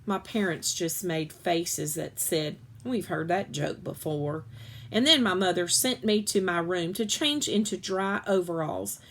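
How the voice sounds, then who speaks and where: A woman reading a children's novel aloud in an expressive way, with occasional small stumbles and self-corrections. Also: fairly low pitch, measured pace, few pauses, slight background noise.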